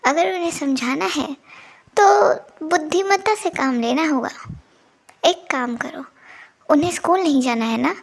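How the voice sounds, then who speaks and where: A woman voicing a calm, wise village adviser in a children's moral story cartoon. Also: high voice, a little slow, vocal fry. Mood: calm, advising.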